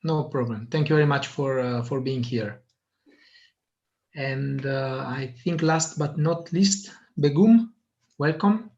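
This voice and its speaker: A man's voice: medium pitch, slow pace, a little mellow. Hesitant man hosting a video call. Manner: hesitant, many filler sounds. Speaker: meeting host. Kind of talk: hosting an online meeting. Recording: muffled lo-fi video call audio.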